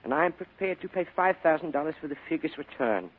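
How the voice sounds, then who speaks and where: A fussy, over-polite villain from an old radio mystery. His voice is fairly high and husky, with formal, precise phrasing and quiet menace, on a thin, phone-like vintage recording. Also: expressive, fast. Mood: formal, insistent. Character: polite, fussy, sinister foreign crook with a gun. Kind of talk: 1940s radio drama acting.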